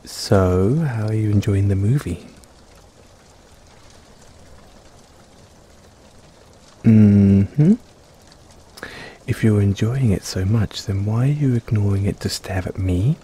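A man playing an affectionate, self-doubting middle-aged dad in an intimate audio roleplay, speaking hesitantly and tenderly with gentle teasing. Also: fairly low pitch, unhurried, lightly acted. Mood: teasing, fond. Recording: rain-like ambience noise behind.

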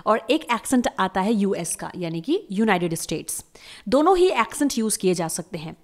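A woman's voice: on the high side, a little mellow. Patient woman teaching words. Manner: patient, repeats words slowly for learners. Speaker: English teacher. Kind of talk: explaining lesson to camera viewers. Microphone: voice-over on slides.

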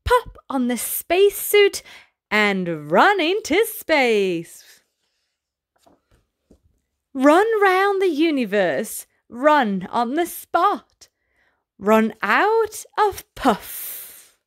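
A woman with a high voice reads a picture book for little children. Her pitch swoops up and down in an exaggerated, sing-song way. She takes her time, at a slow storytelling pace. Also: very animated. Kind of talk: expressive read-aloud of a picture book.

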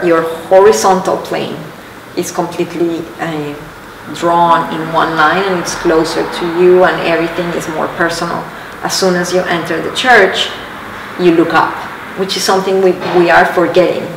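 A middle-aged woman from Colombia with a Colombian Spanish accent, talking thoughtfully in an interview and often repeating words as she forms a phrase. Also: low voice. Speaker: artist being interviewed. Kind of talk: reflective interview talk. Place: large high-ceilinged church exhibition space, indoors. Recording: echoey room, distant mic, clear background noise.

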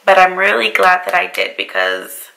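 A young woman talks through her makeup routine at home, her voice worn hoarse and raspy by a cold. It carries a deep, gravelly fry yet stays bright and forward. She speaks casually to the camera and keeps apologizing for how she sounds. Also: big pitch swings, moderate pace, poor audio. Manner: chatty, apologetic about her voice. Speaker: beauty vlogger. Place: bedroom vanity, indoors.

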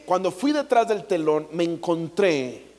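A man's voice: fairly high pitch, sing-song, a little slow, background noise. Mexican guy preaching on a big stage, playful, lots of jokes, echoey hall. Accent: Mexican Spanish. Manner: playful, jokey, acts out quotes. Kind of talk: comedic stage sermon. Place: large theater stage. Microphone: handheld mic. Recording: long hall reverb.

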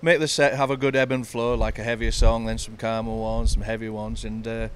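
A man's voice: on the low side, little pitch movement, brisk, a little hiss or hum. A middle-aged man from the United Kingdom, a musician chatting easygoingly on a ship's deck with many fillers. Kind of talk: casual interview. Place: outdoor deck of a cruise ship.

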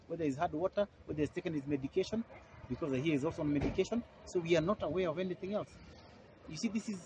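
Guy, lawyer talking to press outside, fired up, repeats stuff, lotta noise around. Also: sits high. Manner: indignant, emphatic, repeats phrases for force. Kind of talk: press interview. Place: outdoors at an airport. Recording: noisy outdoor field recording.

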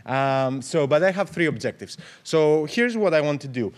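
Greek man giving animated panel remarks. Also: on the high side, normal speed. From Greece. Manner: animated, gestures a lot, many fillers and restarts. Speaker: panel moderator. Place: conference room at a table. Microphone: table mic on stand.

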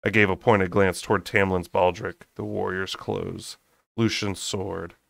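A young man narrating a fantasy story aloud with expressive, slightly unrehearsed delivery. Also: low-pitched, measured pace, gravelly, no room sound. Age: young adult. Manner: unrehearsed, expressive, occasional stumbles. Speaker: amateur audiobook reader. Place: small bedroom, indoors.

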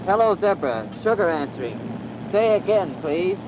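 A man's voice: sits high, very animated, moderate pace. An actor playing a young male fighter pilot, making formal, clipped radio calls. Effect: radio transmission. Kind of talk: 1940s film acting. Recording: distant, noisy, dull old film radio sound.

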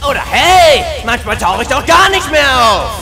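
A man's voice: extremely high pitch, sing-song, moderate pace, exaggerated voice. A man voicing a naive, easily enraged young cartoon hedgehog with a strained, whiny, theatrical delivery. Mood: furious, ranting. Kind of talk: cartoon fandub. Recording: music behind the voice.

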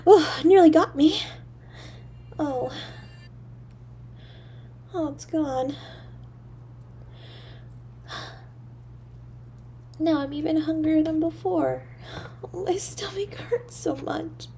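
A woman voicing a poor, lonely little girl in an audio drama, halting and breathy, with childlike stammering. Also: high voice, mellow, a little hiss or hum. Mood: hungry, determined. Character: poor, lonely, freezing little match girl, timid and yearning. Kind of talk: solo audio drama monologue.

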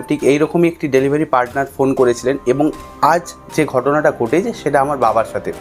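A young man vlogger speaking unscripted to his viewers in an explanatory, cautionary way, with music behind him. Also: medium pitch. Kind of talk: unscripted talk to camera, warning viewers.

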